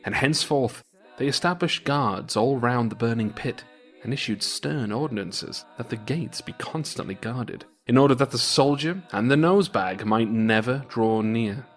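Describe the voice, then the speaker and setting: A man narrating a folktale as storyteller in a dramatic, theatrical and expressive manner. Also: mid-range voice. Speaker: storyteller, podcast host.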